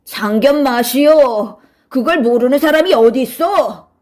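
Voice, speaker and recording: A woman voicing an arrogant, bullying male official in a children's storybook, gruff and domineering. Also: high-pitched, a little slow, a little mellow, pitched above the performer's own voice. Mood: scornful bullying.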